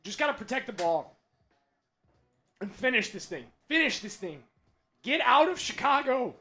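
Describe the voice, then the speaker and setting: Fan play-by-play man, high voice, hoarse from screaming, hushed strain between shouted big plays, streaming from a desk mic. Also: big pitch swings, normal speed. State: losing his voice from screaming. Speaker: watch party play-by-play host. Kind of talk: excited fan play-by-play on a livestream.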